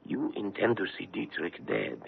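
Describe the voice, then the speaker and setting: An actor playing a grave foreign man in a radio drama, speaking in formal, deliberate, intense phrases. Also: lowish voice, creaky, slightly rough, heavily acted. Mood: urgent warning. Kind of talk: old-time radio drama acting. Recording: tinny, band-limited vintage radio broadcast.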